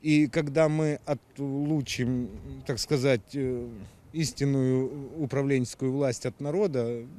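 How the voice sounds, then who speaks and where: A man's voice: mid-range voice, dark tone. A man, a passerby, making an insistent point to a street interviewer, sometimes searching for words. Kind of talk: street vox pop.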